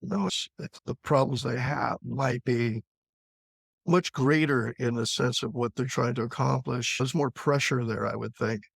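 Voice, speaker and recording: A man's voice: medium pitch, moderate pace, vocal fry, breathy edge, no room sound. A man hosting a casual interview, putting loose, informal questions and remarks to his guest. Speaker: interviewer, host.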